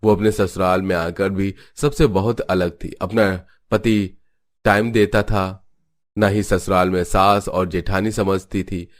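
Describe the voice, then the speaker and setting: A man's voice: sits low, steady tone, a little slow. A man narrating a scripted cartoon family story.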